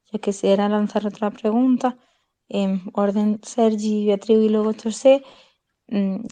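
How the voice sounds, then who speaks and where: A woman's voice: medium pitch, dark tone. A woman from Spain co-hosting an online audio debate, handing out turns in a brief, orderly way. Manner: brief, orderly turn-giving. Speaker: co-host moderator.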